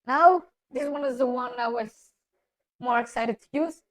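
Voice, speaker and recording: A man using a voice changer's female setting, chatting offhandedly in halting phrases as he reviews it while gaming. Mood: casual. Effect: pitch-shifting voice changer, female setting. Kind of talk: casual gaming review chat.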